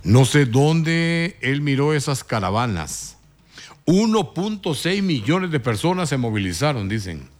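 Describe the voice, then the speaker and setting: Indignant radio host. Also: male voice, big pitch swings, unhurried. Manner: indignant, emphatic, sharp rises in pitch. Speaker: radio talk show host. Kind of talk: opinionated morning radio commentary.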